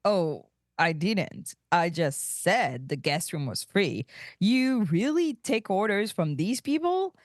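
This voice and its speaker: A woman voicing a haughty, mercenary alien aunt with a cutting, flirtatious manner in an animated sci-fi comedy table read. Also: on the low side, slow pace, dry recording, clean studio sound. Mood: scornful. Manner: sardonic, cutting.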